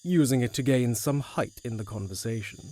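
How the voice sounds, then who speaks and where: Medium-pitched male audio drama narrator. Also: brisk, background noise.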